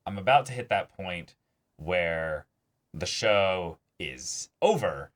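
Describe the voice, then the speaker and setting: A man with a low voice talks through his opinions as co-host of a reaction show. He keeps it casual and conversational, putting on imagined reactions to make his points. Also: lively intonation, slow pace. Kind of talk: casual discussion and critique.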